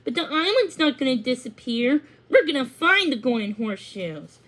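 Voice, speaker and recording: A woman's voice: high voice, very animated, normal speed, fairly bright tone, heavily acted. A woman voicing an eager young girl who loves ponies, kind and reassuring, in a children's story. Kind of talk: children's storybook character dialogue.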